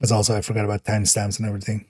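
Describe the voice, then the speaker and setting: A man with a hoarse, raspy throat, streaming a game in casual, rambling talk, laughing at himself and restarting his intro. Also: fairly low pitch, completely flat delivery, racing, a little mellow. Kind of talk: casual gaming stream chat.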